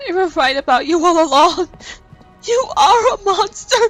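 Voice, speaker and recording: A woman voicing a caring, earnest young unicorn mare who pleads gently in a comic fandub. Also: very high voice, lively intonation, moderate pace, soft background music. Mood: tearful, hurt. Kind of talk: animated comic fandub acting.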